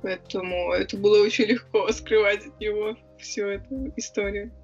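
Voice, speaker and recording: A woman's voice: medium pitch, fairly even intonation, a little mellow, lo-fi sound, faint music behind. Chatty young woman, lots of hesitations. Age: young adult. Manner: chatty and quick, with many hesitation sounds. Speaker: interview guest, writer and club organizer. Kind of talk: casual conversational interview.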